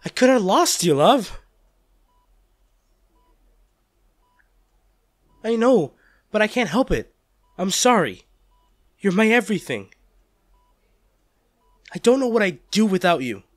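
A man's voice: sits high, very animated, normal speed, long pauses, no echo, clean studio sound. A man playing a devoted, protective partner in an intimate audio drama, tender and reassuring as he comforts an injured loved one. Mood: distraught, pleading. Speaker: audio roleplay performer.

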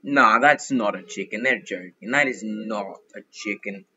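A young man giving chatty, excitable commentary while playing a game, thinking out loud as he goes. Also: fairly low pitch, a little slow, highs cut off, slight background noise. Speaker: gaming youtuber. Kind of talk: casual gameplay commentary.

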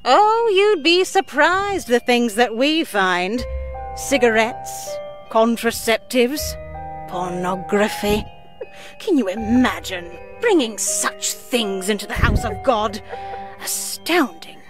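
An older actress playing a stern, pious, sinister nun in a visual novel game, with a measured, sanctimonious and condescending manner. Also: female voice, fairly high pitch, animated, over music.

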